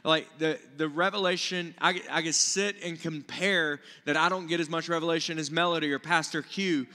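Bright-voiced man preaching in a hall. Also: a little high, fairly even intonation, moderate pace. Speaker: pastor. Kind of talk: conversational sermon teaching. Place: stage of a church auditorium with seated audience. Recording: reverberant large-hall stage sound.

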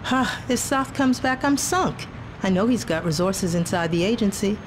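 A woman's voice: mid-range voice, lively intonation, normal speed, close to an everyday voice. A woman playing a confident, wry undercover intelligence agent in a video game, speaking with composure. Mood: tense, bitter. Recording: loud music and vehicle noise behind.

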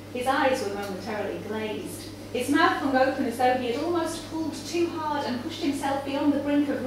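A woman reading a story aloud to a live audience in an indoor cafe bar, recorded from a far camera. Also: high voice. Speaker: reader at a live literary event. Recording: echoey noisy room, far from microphone. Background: audience ambience and background noise.

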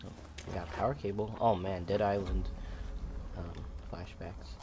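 A man's voice: low-pitched, fairly even intonation, moderate pace, background noise. A man giving casual, sarcastic, muttered commentary as he plays a video game. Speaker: gamer playing a horror game. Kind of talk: casual gameplay chat.

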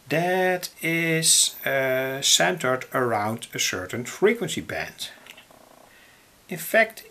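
A man with a Dutch accent explaining electronics in an unscripted, halting and deliberate tutorial style. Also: mid-range voice, creaky, pushed and bright, slightly rough. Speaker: electronics hobbyist presenter. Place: indoors, at a table.

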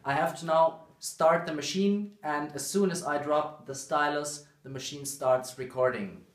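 Male presenter, thirties, for a hands-on how-to; measured, slowish delivery, roomy camera-mic sound. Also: a little high. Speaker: presenter demonstrating phonograph recording. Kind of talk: informal spoken tutorial demonstration. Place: indoor living room with piano. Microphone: camera microphone a few steps away.

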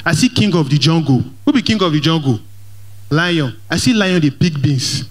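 Pidgin-accented young comedian on stage. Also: male voice, on the high side, normal speed. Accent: Nigerian Pidgin English. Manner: lively, mixes Pidgin and English, plays to the crowd. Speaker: stand-up comedian. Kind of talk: stand-up comedy. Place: large church hall stage. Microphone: handheld microphone.

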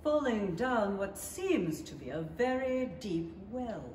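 A slow, measured storybook narrator played by a woman with a mid-pitched voice, in radio drama style. She sounds distant in a roomy space. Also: big pitch swings, darkish tone. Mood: storytelling. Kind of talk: scripted radio play narration. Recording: roomy stage from far off, faint noise.

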